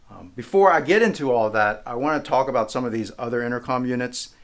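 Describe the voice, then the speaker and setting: A man's voice: mid-range voice, fast talker. A man in his forties giving an informal product demonstration, explaining step by step in a plain-spoken way. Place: small indoor office room, standing behind a demo table.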